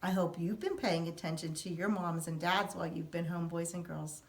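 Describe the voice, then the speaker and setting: A woman teacher reading a picture book aloud to children in an expressive storytelling manner. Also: on the low side, moderate pace. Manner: expressive, sing-song storytelling.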